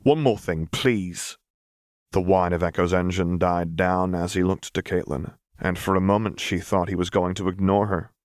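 Deep voiced guy reading an audiobook story, really dry close sound. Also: flat delivery, moderate pace, few pauses, no room sound. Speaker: audiobook reader. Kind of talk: scripted fiction narration.

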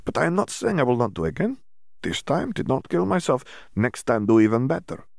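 A man's voice: mid-range voice, wildly swooping pitch, normal speed, no room sound, studio quality, pitched above the performer's own voice. A man voicing a reckless, carefree young wizard who talks in nonchalant broken grammar.

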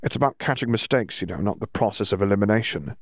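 A man's voice: lowish voice, quick pace, gravelly, no room sound, studio quality. A man voicing a sly, scoffing teenage schoolboy with a guarded, teasing manner in an audiobook story. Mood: knowing.

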